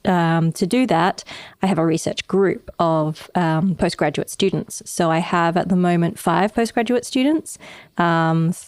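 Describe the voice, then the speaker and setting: A woman's voice: on the low side, a little slow, no room sound, clean studio sound. An Australian woman, an astronomy lecturer, chatting and explaining her work on a podcast with a ready laugh. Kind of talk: casual podcast chat. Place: small podcast studio.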